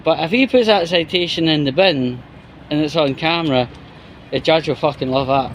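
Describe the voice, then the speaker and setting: Higher-pitched man ranting over background noise. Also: normal speed. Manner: sweary, exasperated, opinionated. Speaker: YouTuber. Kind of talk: casual commentary vlog.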